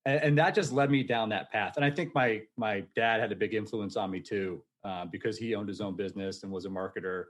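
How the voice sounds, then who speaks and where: Upbeat forty-year-old guest, laughing often. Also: male voice, lowish voice, a little fast, hardly any pauses. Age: 40s. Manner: energetic, laughs easily, casual. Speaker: podcast guest. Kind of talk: casual storytelling interview.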